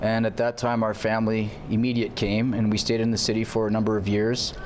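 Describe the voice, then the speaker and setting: Low-voiced man, priest in an interview. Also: level tone, a little fast, a little mellow, slight background noise. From Canada. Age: 30s. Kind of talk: informal TV studio interview. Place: indoor TV studio. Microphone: lapel mic.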